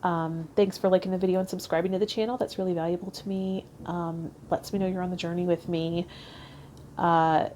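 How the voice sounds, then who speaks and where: Woman, slightly low voice, mellow and relaxed tone, tarot vlog host, faint background noise. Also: fairly quick. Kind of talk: reading card meanings aloud and casual vlog talk.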